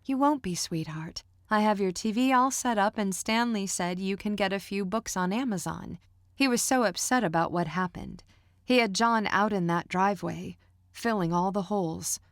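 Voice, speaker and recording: A woman's voice: mid-range voice, normal speed, dry recording, clean studio sound, pitched above the performer's own voice, close to an everyday voice. Woman reading as a sweet, doting mother. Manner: soft, doting, cooing. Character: sweet, overly caring middle-aged mother.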